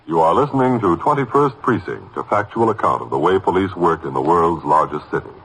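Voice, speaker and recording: A man's voice: deep voice, expressive, fairly quick, a bit bright, breathy edge, rough recording. An American man announcing a radio program in a scripted 1950s style.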